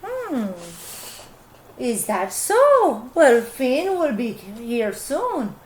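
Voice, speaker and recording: A woman voicing a clever, sly storybook wife with a calm, sweetly coaxing manner. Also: high-pitched, very slow, echoey room, exaggerated voice. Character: Una, clever sly Irish giant's wife, cheerful.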